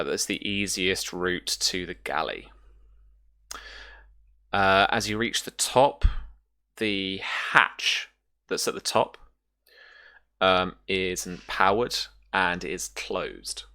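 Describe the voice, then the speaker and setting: Low, bright-voiced woman running a game. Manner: hesitant, informal, thinking aloud. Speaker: game master. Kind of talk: narrating an online tabletop roleplaying game.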